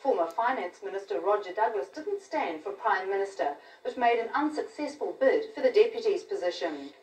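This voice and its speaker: A woman's voice: medium pitch, expressive, fairly quick, a little mellow. A woman in her thirties with a New Zealand accent, reading scripted television news. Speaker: news presenter. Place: television newsroom studio. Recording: roomy, distant capture of TV broadcast.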